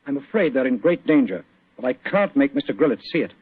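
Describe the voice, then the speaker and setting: A man playing a polite, anxious young gentleman landlord, earnest and well-spoken, in 1940s radio drama. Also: medium pitch, expressive, fast, breathy edge. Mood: worried. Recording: tinny old radio recording.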